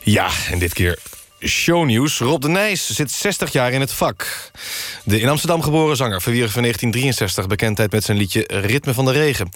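A man reading scripted mock news as a radio presenter, with a deadpan delivery. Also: mid-range voice, normal speed, dry recording. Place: dry radio studio.

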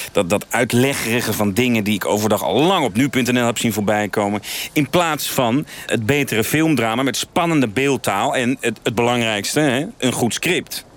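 A man's voice: lowish voice, fairly bright tone, natural voice. A man playing an earnest, frustrated TV news director with cinematic dreams, speaking hesitantly and repeating himself in a mock radio interview. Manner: hesitant, rambling, repeats himself, self-serious. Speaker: interview guest.

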